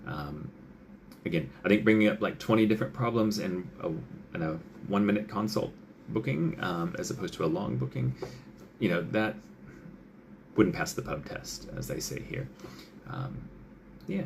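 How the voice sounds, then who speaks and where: A man with a very low voice and a gravelly edge to it, talking as a doctor. He chats loosely, with lots of fillers, and slips into little acted-out lines of dialogue. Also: animated, normal speed, pauses often, background noise. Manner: chatty, many fillers, acts out quoted patient and doctor lines. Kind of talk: casual advice talk.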